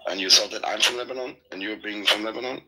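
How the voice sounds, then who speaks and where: A man from Israel with a low, hard-edged voice, talking on an online call. He stops and starts, groping for words and filling gaps with "uh" and "like", in loose group-chat banter. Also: fairly even intonation, bright, forceful tone, low-quality recording. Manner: halting, searching for words with many fillers.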